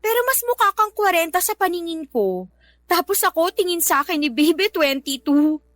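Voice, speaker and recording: A vain, clingy mistress in a radio drama, her high voice swooping widely. She is in her early thirties and talks in a cutesy, provocative pout. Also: animated, moderate pace, a bit bright, dry recording. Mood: smug, cutesy. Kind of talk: Tagalog radio drama acting.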